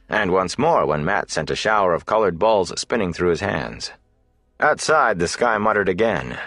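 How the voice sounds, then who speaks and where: A man narrating a fantasy audiobook, telling the story in a measured, deliberate, dramatic way. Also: low voice, creaky, a bit bright, no room sound, clean studio sound.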